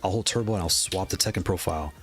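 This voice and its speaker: Low-voiced man rambling on a livestream. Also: fast. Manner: rambling, shouts out chat viewers, laughs often. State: losing his voice. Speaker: streamer. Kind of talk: casual live-stream chat.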